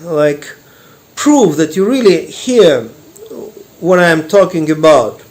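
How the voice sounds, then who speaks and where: Slow, halting man with a Russian accent. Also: a little high, expressive, a little mellow. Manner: unhurried, halting, many fillers and restarts. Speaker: meditation teacher and artist. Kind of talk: personal storytelling.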